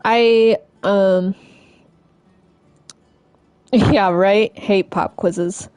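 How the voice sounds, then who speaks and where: A woman game streamer chatting casually and informally as she plays, in a halting, rambling way. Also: medium pitch, steady tone, darkish tone, smooth, no echo, rough recording. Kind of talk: casual live-stream chat while playing.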